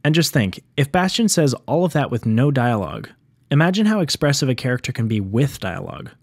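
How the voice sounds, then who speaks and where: A man hosting an explainer video, reading scripted narration in a clear teaching structure. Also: medium pitch, very animated, brisk, dry recording, studio quality. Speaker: video essay host on game sound design.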